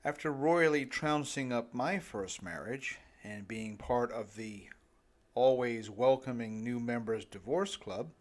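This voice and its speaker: A middle-aged man reads his own audiobook at a leisurely pace. His pitch is average for a male voice. The scripted narration is lightly humorous and tongue-in-cheek, aimed directly at the listener. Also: unhurried. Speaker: author reading own audiobook.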